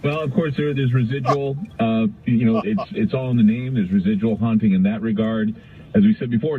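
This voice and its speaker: A man's voice: on the low side, breathy edge. A middle-aged American man hosting a call-in radio show, telling stories in a rambling, conversational way that circles back on phrases. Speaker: radio talk show host. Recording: tinny, narrow-band radio sound.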